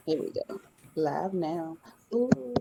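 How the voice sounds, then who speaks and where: A woman's voice: lowish voice, expressive, slow, creaky, heavy background noise. A woman co-hosting a casual live spiritual chat, laid-back and slangy in how she talks.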